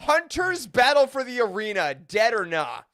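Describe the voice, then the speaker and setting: A host of a fan roundtable, chatting casually in an animated, jokey way. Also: medium pitch, moderate pace, faint music behind. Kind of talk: casual chat, hosting.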